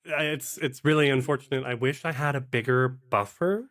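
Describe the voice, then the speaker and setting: A man with a medium-pitched voice talks as a guest on a podcast. He explains things in a casual, halting way, correcting his own words along the way. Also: a bit bright. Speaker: podcast guest, actual-play game master and producer. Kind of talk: casual interview talk.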